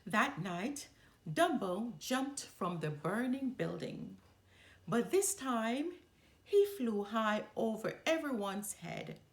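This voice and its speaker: Slow, animated woman reading a storybook. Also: a little high. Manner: animated, deliberate storybook delivery. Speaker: storyteller reading a children's book aloud. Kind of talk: expressive read-aloud narration.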